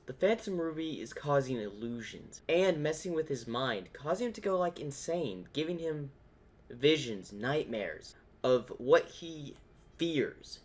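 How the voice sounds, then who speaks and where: A man giving casual reaction commentary as a video host, thinking aloud as he speculates. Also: a little high.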